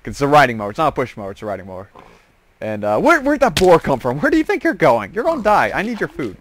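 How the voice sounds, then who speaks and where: A man telling a casual story as he hosts gameplay, rambling and halting, often repeating and restarting his phrases. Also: fairly high pitch, wildly swooping pitch, slight background noise. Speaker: host of a gaming series.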